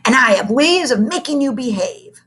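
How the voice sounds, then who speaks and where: A stern, menacing old male teacher, as a woman puts him on for a storybook reading, growling and barking each command. The voice stays bright and sharp, with a lively swing in pitch. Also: moderate pace.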